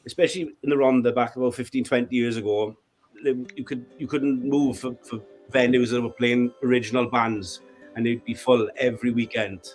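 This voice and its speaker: A man's voice: medium pitch, level tone, a little fast, faint music behind, poor audio. A man from Wales with a Welsh accent, a band member talking earnestly as a guest in an interview. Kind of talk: casual interview chat.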